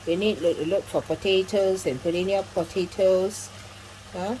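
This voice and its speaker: A woman's voice: mid-range voice, fairly even intonation, a little slow, warm tone, a little hiss or hum, low-quality recording. A middle-aged woman hosting a home cooking demonstration, giving chatty step-by-step instructions with frequent asides. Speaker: cooking channel host. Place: home kitchen.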